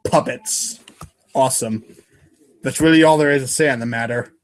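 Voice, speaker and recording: A man's voice: medium pitch, normal speed. A man narrating a webcomic readers' theater script in a wry way, now and then tripping over the text. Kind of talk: dramatic reading of webcomic narration.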